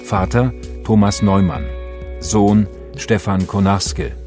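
A man reading scripted radio drama credits as an announcer, in a measured, formal delivery. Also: lowish voice, fairly even intonation. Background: clear music.

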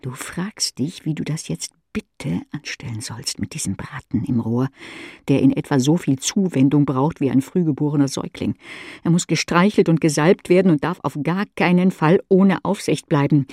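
Female audiobook narrator, husky voice, dramatic literary read, very dry recording. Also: sits low, animated, moderate pace, dark tone, dry recording. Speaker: audiobook reader. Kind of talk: scripted audiobook narration in second person.

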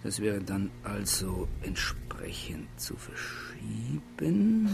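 Officious police chief, lively intonation. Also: male voice, fairly low pitch, takes it slowly, vocal fry, background noise, heavily acted. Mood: businesslike. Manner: formal, pedantic, bureaucratic phrasing. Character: officious male police chief leading a murder investigation. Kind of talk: naturalistic radio drama acting.

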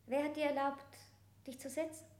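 A woman's voice: high voice, steady tone, moderate pace, a little mellow, roomy sound, natural voice. An actress playing a harsh, threatening female interrogator. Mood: menacing. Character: shrill, menacing female interrogator.

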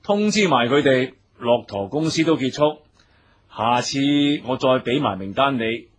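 A Cantonese gang boss in a radio drama. His mid-pitched voice has a rough husk to it. He instructs his men deliberately, with measured authority and a lecturing streak. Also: lively intonation. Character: authoritative male gang boss and club owner, reforming, measured.

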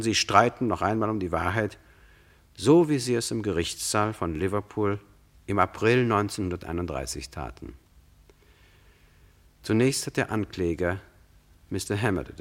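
An actor narrating a radio play, calmly and formally explaining a courtroom case to listeners in a measured way. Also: male voice, on the low side.

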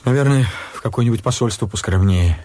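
An actor playing a brash, self-important rogue on the run in a radio drama, jaunty and flattering. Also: male voice, mid-range voice, quick pace, close to an everyday voice. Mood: flustered. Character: Major Jones, brash jovial male rogue on the run. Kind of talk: Soviet radio drama acting.

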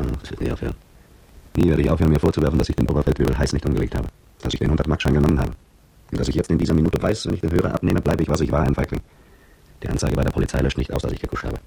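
An actor playing an anxious, bitter petrol station attendant, brooding and accusing himself in a radio drama inner monologue. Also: male voice, a bass voice, gravelly, dark tone, slightly rough, no room sound, exaggerated voice, low-quality recording. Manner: brooding, self-accusing, agonised.

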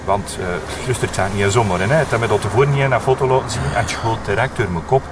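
An actor playing a flustered, long-winded local witness with a Flemish accent, halting and repeating himself. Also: male voice, lowish voice, noisy background, close to an everyday voice. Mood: rambling, insistent. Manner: halting, repetitive, circles around the point.

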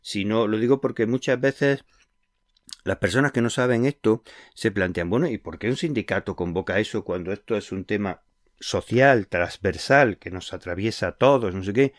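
Male radio host, deep-ish and dry, patient explainer who leans on examples. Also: moderate pace, no echo. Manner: earnest, explanatory, uses concrete examples. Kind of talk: conversational radio interview.

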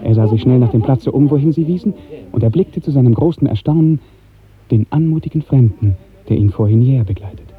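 A man narrating a literary radio drama in a measured, lyrical 1950s storytelling style. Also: medium pitch. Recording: muffled old 1950s radio recording.

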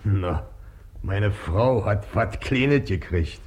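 A stolid, evasive bargeman in a radio comedy. His voice is on the low side, and he talks in plain, stubborn Berlin-Brandenburg dialect. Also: animated, extremely slow, a little mellow. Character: stolid, evasive working-class male river bargeman. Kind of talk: stage-comedy radio acting.

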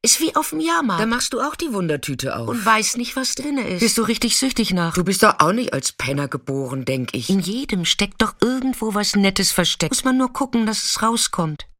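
Bright-voiced, frank, streetwise sex worker. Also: female voice, mid-range voice, sing-song, brisk, no room sound, studio quality. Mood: playful, musing. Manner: colloquial, chatty, frank. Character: warm, chatty, streetwise female sex worker, caring and unshockable. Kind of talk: intimate radio drama monologue and narration.

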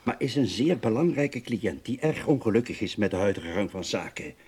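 Casting: male boss in radio play, smooth but pushy, lowish voice with very animated intonation. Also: normal speed. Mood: uneasy, pressing. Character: male office boss, smooth, placating yet pressing. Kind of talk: radio drama acting.

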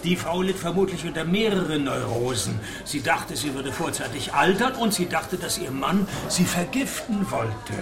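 An actor playing a middle-aged, sardonic private detective, narrating and talking in a deadpan, wry way in a radio play. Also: male voice, on the high side, moderate pace, hardly any pauses, a crowd in the background. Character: dry, sardonic, worldly-wise aging male private detective.

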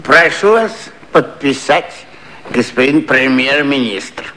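Casting: a demanding creditor, deliberate and very animated, formal radio-play delivery over faint old-recording noise. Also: male voice, medium pitch, rough recording. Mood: insistent. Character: insistent, humourless male tycoon creditor demanding signatures. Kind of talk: satirical 1950s Soviet radio play acting.

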